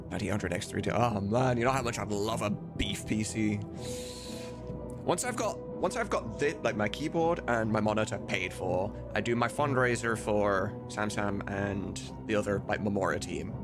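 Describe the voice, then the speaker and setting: A man with a fairly low voice talks to his stream viewers in a loose, informal way, swearing now and then and working out his thoughts aloud. Game music plays clearly behind him. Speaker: streamer and voice mod creator. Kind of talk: casual live stream chat. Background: video game music.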